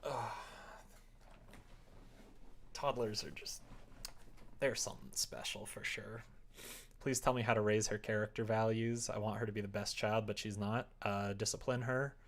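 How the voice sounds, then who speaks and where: A man with a fairly low voice and plenty of vocal fry streams while he works. He talks casually and loosely, muttering to himself as he goes. Dry little joking asides are mixed into the rambling. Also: steady tone, unhurried, long pauses. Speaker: live streamer developing a visual novel. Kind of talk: casual livestream chat while working.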